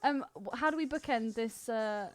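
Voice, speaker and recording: Higher-pitched woman chatting over music. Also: moderate pace. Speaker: podcast co-host. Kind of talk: casual film podcast banter. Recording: music playing behind the talk. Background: film soundtrack music.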